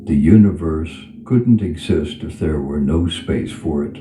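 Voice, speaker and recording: A man guiding a meditation in a soothing, unhurried manner, leaving long pauses between phrases. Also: a bass voice, noisy background. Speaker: meditation guide. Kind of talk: guided meditation. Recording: reverberant voice over clear background music. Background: background music.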